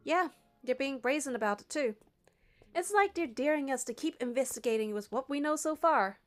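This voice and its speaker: Woman as a high-voiced, wry hunter heroine. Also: expressive, normal speed, faint music behind. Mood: incredulous. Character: wry, dutiful female deep-space hunter heroine.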